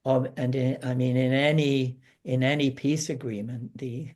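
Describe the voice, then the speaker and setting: A man giving an informal explanation as a guest analyst, speaking haltingly and often repeating words as he searches for phrasing. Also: medium pitch, steady tone, a little mellow. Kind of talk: informal explanatory panel talk.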